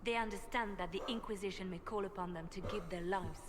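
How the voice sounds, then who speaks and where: A woman's voice: medium pitch, brisk, close to an everyday voice. An actress playing a grave, guilt-ridden, hard-edged female spymaster in video game dialogue. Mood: hard, insistent. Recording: long reverb, faint ambient noise.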